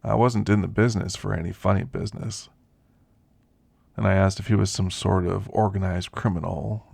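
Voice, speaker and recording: A man playing an elderly, folksy, world-weary werewolf in an ASMR roleplay, reminiscing in an unhurried, gravelly, husky voice. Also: low voice, a little mellow, no echo, full character voice.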